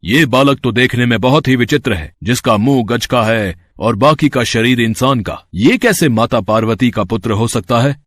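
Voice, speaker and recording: A man's voice: medium pitch, normal speed, few pauses, no echo, close to an everyday voice. A man acting a proud warrior sage, dry sound. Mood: suspicious, haughty. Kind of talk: acted dialogue in a Hindi mythological story.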